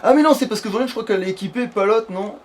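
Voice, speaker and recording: A man's voice: high voice, rapid-fire. A man giving casual gaming commentary, muttering to himself hesitantly and sometimes swearing. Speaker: let's play gamer. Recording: steady background noise.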